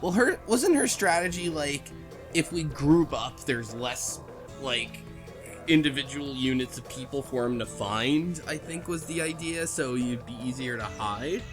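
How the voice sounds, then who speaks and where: A man's voice: fairly high pitch, lively intonation, measured pace, music in the background. A man in a casual group discussion, questioning and interjecting. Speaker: book club podcast panelist.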